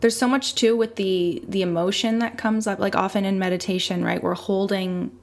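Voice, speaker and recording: Gravelly woman host, reflective. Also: mid-range voice, steady tone, normal speed. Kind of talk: casual conversation over video call. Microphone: video call.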